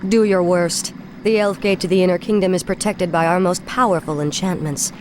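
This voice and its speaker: A woman's voice: on the low side, steady tone, fairly quick, slight background noise, lightly acted. An actress playing a proud, scornful female elf ranger general in a video game cutscene. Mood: defiant.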